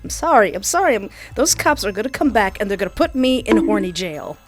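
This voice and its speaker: A woman's voice: medium pitch, big pitch swings, brisk. A woman doing let's-play commentary on a video game, switching between reading narration aloud and playful, exclaiming reactions. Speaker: video game streamer playing a dating simulator. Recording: music behind the voice. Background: game music.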